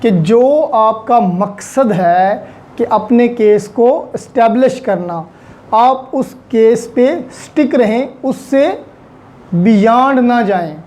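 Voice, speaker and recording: A man's voice: extremely high pitch, expressive, slow, a little mellow. A man with a Pakistani accent giving an explanatory lecture as a law teacher, repeating and rephrasing points and mixing in English terms.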